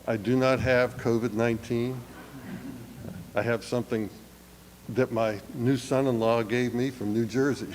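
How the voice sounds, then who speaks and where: Raspy man with a head cold. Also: medium pitch, steady tone, unhurried, long pauses, darkish tone. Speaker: church elder leading worship. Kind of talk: welcome and call to worship. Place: church sanctuary. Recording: long hall reverb, faint noise.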